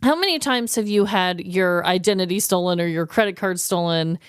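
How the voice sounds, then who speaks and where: A woman co-hosting a podcast with casual, expressive banter in an informal, exclaiming way. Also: lowish voice, a little fast, smooth, no echo, studio quality. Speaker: podcast co-host.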